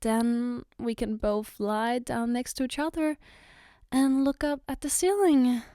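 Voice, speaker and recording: A woman performs a caring young girlfriend in an intimate ASMR roleplay. Her voice sits fairly high and moves very slowly, with gentle, hesitant phrasing as she reassures her partner. The sound is dry and close. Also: steady tone, dry recording. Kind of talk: ASMR roleplay, spoken to the listener.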